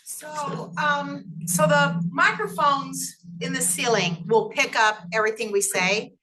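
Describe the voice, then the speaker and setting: A woman with a loud, carrying voice, giving informal, practical instructions as meeting staff. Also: high voice, slow, background noise.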